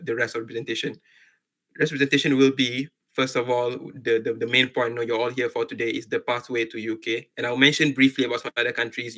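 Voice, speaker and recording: A young man from Malaysia with a Malaysian accent gives an informal presentation. His voice is bright and fairly flat in pitch. He speaks haltingly, often repeating a word or two before he finishes the thought. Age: young adult. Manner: hesitant, repeats words and rephrases often. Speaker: medical doctor, guest speaker. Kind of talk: informal online presentation to students.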